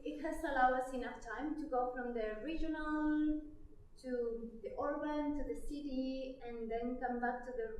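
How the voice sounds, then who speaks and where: A woman with a strong Spanish accent talks through her ideas on a panel. She restarts phrases while she finds her words. Her slightly high voice comes from some way off the mic and rings around a very echoey hall. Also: a little mellow, distant mic, a little hiss or hum. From Spain. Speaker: panelist, co-author. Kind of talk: panel discussion remarks. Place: lecture hall.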